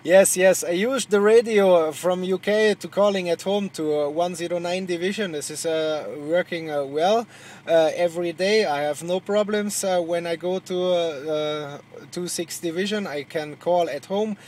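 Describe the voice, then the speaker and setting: Halting Hungarian-accented man, higher-pitched, noisy radio audio. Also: darkish tone. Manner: halting non-native English, repeats words. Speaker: truck driver and amateur radio operator. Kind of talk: casual radio contact chat.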